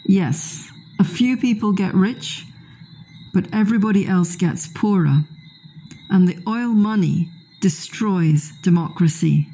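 A woman from Guyana, an environmental activist, speaking earnestly and in measured tones in a voice message recorded on a phone. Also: medium pitch, warm tone, slightly rough.

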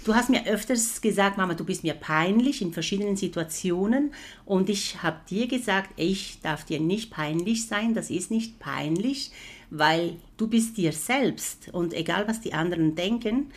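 A middle-aged woman with a lowish voice chats as a podcast guest, her showing. She laughs easily and pauses to hunt for the right words. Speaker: podcast guest, host's mother. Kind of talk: casual podcast chat.

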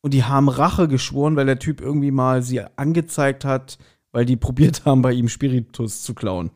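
A man's voice: medium pitch, a little fast. A man co-hosting a podcast, casually recapping a story and acting out bits of dialogue as he retells it. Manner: animated retelling with acted-out quotes. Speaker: podcast co-host. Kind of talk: casual podcast chat recapping an audio drama.

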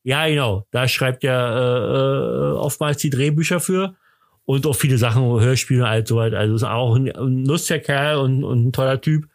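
Male podcast host, mid-pitched, talkative and enthusiastic, hesitant rambling delivery, very dry sound. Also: moderate pace, no room sound. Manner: talkative, rambling, hesitant with many broken-off sentences. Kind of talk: casual, chatty podcast conversation.

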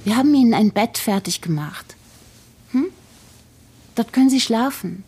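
A woman's voice: on the high side, lively intonation, moderate pace, warm tone, faint music behind, lightly acted. An actress playing a caring, practical woman who takes charge among her friends in a naturalistic audio drama. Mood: calm, caring.

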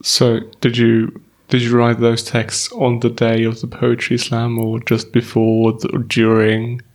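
A man hosts a podcast interview and puts his questions in a measured, slightly halting way. His pitch stays close to a single level and hardly moves. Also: completely flat delivery. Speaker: podcast host.

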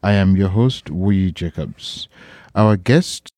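Radio host guy, really low mellow voice, dry close sound, asks stuff slow and formal. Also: lively intonation, dry recording. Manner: formal, unhurried questioning. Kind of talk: radio interview hosting.

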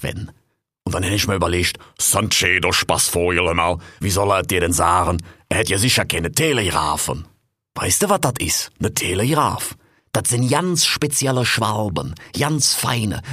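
A man's voice: low-pitched, bright, forceful tone, breathy edge, dry recording, clean studio sound, full character voice. A man voicing a jovial, blustery old male monkey king who rambles in dialect and keeps saying "hör mal".

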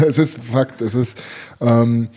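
A man's voice: mid-range voice, steady tone, slow, warm tone. A young man born in the former Soviet Union, a handball player, telling stories in a casual talk-show chat, chatty and laughing at himself often.

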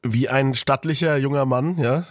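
A man's voice: mid-range voice, normal speed, no echo, studio quality. A man co-hosting a podcast in casual chat, joking playfully with lots of fillers, a little under the weather with a cold. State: slightly ill with a cold. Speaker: podcast co-host.

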